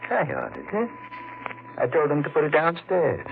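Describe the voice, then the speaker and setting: A man's voice: fairly high pitch, huge pitch swings, moderate pace, vocal fry, a little hiss or hum, heavily acted. An actor playing an eccentric, domineering old rich man in a 1940s radio drama. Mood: scheming. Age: older. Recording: tinny old radio broadcast.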